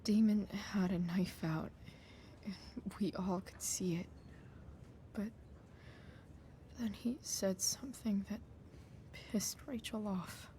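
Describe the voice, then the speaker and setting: A woman playing a guarded, shaken teenage girl in a video game, halting and subdued. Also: mid-range voice, fairly even intonation, mellow, a little hiss or hum, close to an everyday voice. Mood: shaken, guilty. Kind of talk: naturalistic video game acting.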